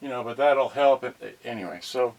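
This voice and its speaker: A man's voice: mid-range voice, moderate pace, vocal fry, darkish tone, highs cut off. A man chatting off the cuff as a livestream host, scattered and folksy, often muttering asides to himself. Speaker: host of a home unboxing video about cassette radios. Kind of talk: rambling unscripted livestream chat. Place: cramped indoor space.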